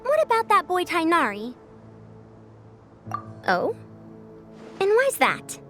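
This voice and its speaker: A woman's voice: extremely high pitch, wildly swooping pitch, slow, fairly bright tone, soft background music, exaggerated voice. A woman playing a proud, self-assured scholar in a video game, boastful and a little old-fashioned. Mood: lofty, inquisitive. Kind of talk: video game character dialogue.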